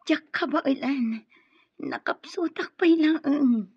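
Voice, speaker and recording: A woman's voice: high voice, takes it slowly, dry recording, highs cut off. A woman playing a distressed young mother pleading in an Ilocano radio drama. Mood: distraught. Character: tearful young mother pleading after childbirth.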